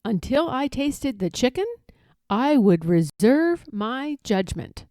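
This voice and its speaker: A woman reading a children's picture book aloud as an expressive, deliberate storyteller. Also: fairly high pitch, no echo, studio quality.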